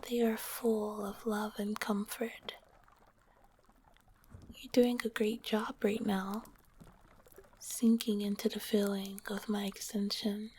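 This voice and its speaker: A woman voicing a gentle, shy female slime monster girl in an ASMR roleplay, whispering softly and soothingly close to the microphone. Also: medium pitch, slight background noise, natural voice. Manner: soft whispering, soothing and hesitant.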